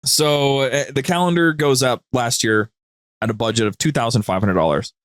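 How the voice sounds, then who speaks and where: A man's voice: mid-range voice, moderate pace, no room sound. A man chatting casually as a podcast guest, telling stories in an informal, rambling, slangy way. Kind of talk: casual, anecdotal chat.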